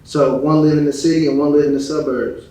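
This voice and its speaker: A man's voice: a little high, flat delivery, quick pace, warm tone. A man from Chicago talking casually as an interview guest, with slangy, profane phrasing and frequent verbal tags. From Chicago, United States. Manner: slangy, profane, frequent "you know what I'm saying". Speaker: interview guest, rap artist. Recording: echoey room, distant from mic.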